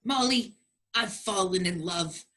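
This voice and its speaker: A self-absorbed cheating boyfriend, played by a woman, apologizing in halting, sheepish stammers. It is comedy radio play acting, with a bright edge to the voice. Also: medium pitch, pitched below the performer's own voice. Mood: apologetic, self-pitying.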